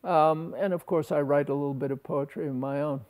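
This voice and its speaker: A man in his sixties speaking as a talk show guest in a thoughtful, halting way, often restarting his words. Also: fairly high pitch, steady tone, darkish tone. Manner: halting, hesitant, with repeated word starts. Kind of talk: thoughtful interview conversation. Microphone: lapel.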